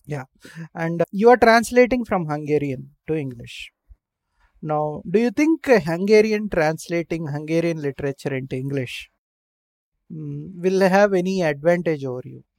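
A man's voice: a little high, animated, moderate pace, vocal fry, no room sound. Male host-interviewer, eager tone, lots of "uh", dry close sound. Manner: warm, enthusiastic, with many filler "uh"s. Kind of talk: conversational interview questions.

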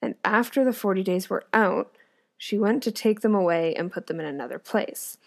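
A woman's voice: medium pitch, lively intonation, moderate pace, creaky, darkish tone. A young woman from Canada reading an old fairy tale aloud, breaking off for laughing, joking asides. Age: young adult. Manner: laughs often, joking commentary between passages. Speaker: guest reader.

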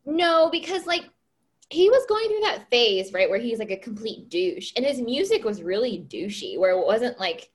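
A woman in her mid-thirties chats casually with a co-host, bubbly and gushing, with giggles slipping in. Her voice sits a bit high and swoops widely up and down. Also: sing-song, normal speed. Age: 30s. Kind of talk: casual chat.

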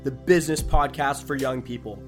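An upbeat young man opens a business podcast with a scripted welcome and then asks the guest questions. His voice sits in a middle range, with clear music playing under him. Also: mid-range voice, normal speed. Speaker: podcast host. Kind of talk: podcast hosting.

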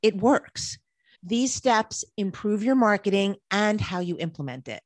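Woman podcast co-host, mid-pitched, reading a script with upbeat ease, close and dry. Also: expressive, a little slow, dry recording.

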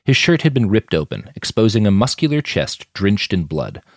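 Male audiobook narrator: deep register, lively inflection, scripted storytelling, dry sound. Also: moderate pace, dry recording. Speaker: author narrator.

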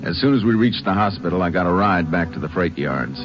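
A calm Texas Ranger with a very low, laconic drawl. The sound is muffled, lo-fi old radio, with music often playing underneath. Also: male voice, brisk. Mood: narrating. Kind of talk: 1950s radio drama acting and narration.

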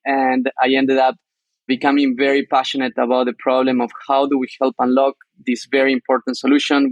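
A man's voice: mid-range voice, no echo. A man from Argentina with an Argentine Spanish accent, explaining things as an interview guest. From northern Argentina. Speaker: startup co-founder, interview guest.

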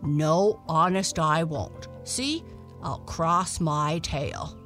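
A woman voicing a gruff but kindly male dog in a children's storybook, blunt, hearty and folksy. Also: low voice, drawn-out words, a bit bright, soft background music, full character voice.

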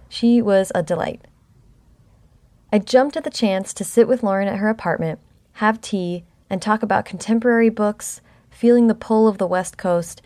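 A woman's voice: mid-range voice, darkish tone. Bubbly podcast host lady chatting with a guest at her apartment, laughs a lot. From Northern California. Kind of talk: scripted intro then casual interview chat.

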